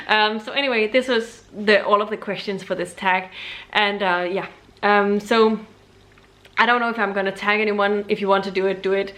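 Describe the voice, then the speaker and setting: A woman's voice: mid-range voice, steady tone, a bit bright. A young Danish woman, speaking English as a second language, chatting casually to camera about books with many ums and restarts. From Denmark. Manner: hesitant, many ums and restarts, deliberately slow. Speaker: book vlogger.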